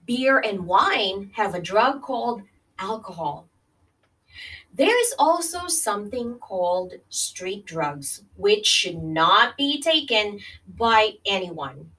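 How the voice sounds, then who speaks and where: A woman's voice: mid-range voice, big pitch swings, takes it slowly, sharp tone, recorded from a distance, poor audio. A woman teacher reading a health lesson aloud to children, addressing them directly and checking in as she explains. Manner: addresses young listeners directly with friendly check-ins like "okay?" and "right?". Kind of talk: read-along children's health lesson, pausing to explain and question.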